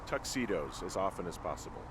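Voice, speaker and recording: A man playing a laconic, sardonic fire lookout in a video game, deadpan and a little hesitant. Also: low voice, a little fast, noisy background. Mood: deadpan, bashful. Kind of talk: naturalistic video game voice acting.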